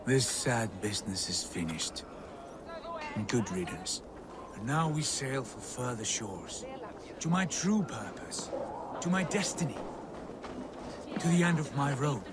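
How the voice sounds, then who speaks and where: A man's voice: mid-range voice, very animated, a little slow, long pauses, background noise. An actor playing a proud Viking warlord in a video game, obsessed with his destiny and speaking in a lofty, portentous way. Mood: grim resolve. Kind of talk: dramatic video game acting.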